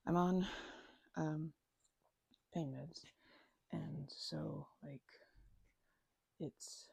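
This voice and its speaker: A woman playing a chatty, grateful young housemate groggy from a migraine in an ASMR roleplay, speaking softly and haltingly in a breathy, husky voice. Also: deep voice, dry recording, heavily acted, rough recording. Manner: halting, rambling, trailing off mid-sentence.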